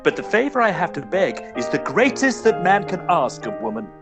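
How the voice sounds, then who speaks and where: An actor playing a scheming middle-aged gentleman suitor in radio melodrama, florid, obsequious and overwrought. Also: sits high, very animated, moderate pace, loud music behind the voice. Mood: ingratiating. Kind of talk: old-style radio theatre melodrama acting.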